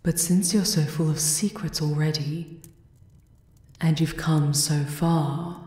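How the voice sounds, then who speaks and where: Shrewd enchantress and royal advisor, fantasy ASMR, voiced by a woman: deep female voice, composed, formal, slow delivery. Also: fairly even intonation, dark tone, husky, dry recording, heavily acted. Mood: grave, confiding. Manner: measured, knowing, formal. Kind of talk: ASMR roleplay acting.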